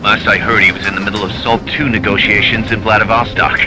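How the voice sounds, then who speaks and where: An actor playing an alarmed, blunt military second-in-command in a video game, giving an urgent briefing. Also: male voice, on the low side, level tone, quick pace, heavy background noise, natural voice. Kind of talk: dramatic video game voice acting.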